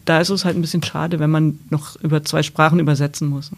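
Flat-voiced woman sports journalist. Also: sits low, fast talker. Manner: thoughtful, hesitant restarts. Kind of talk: podcast interview answers.

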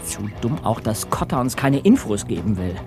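A man's voice: fairly low pitch, very animated, normal speed, darkish tone, faint music behind. A man playing a good-natured, easily baffled teenage detective in a German audio drama, with a somewhat hesitant delivery. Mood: curious.

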